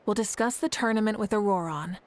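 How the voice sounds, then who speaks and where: A woman playing a calm, self-assured leader who speaks in an unhurried, gently teasing way. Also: mid-range voice, a little hiss or hum, lightly acted.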